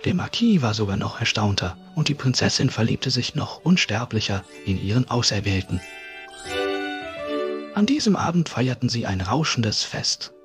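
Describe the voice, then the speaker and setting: Animated male storyteller. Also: medium pitch, normal speed. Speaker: podcast narrator. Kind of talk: scripted fairy-tale narration. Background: music.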